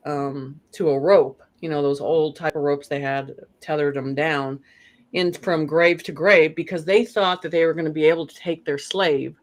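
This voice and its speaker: A woman talking casually as an interview guest, telling stories in a rambling way with frequent "you know" asides. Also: deep voice. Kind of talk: casual conversational storytelling.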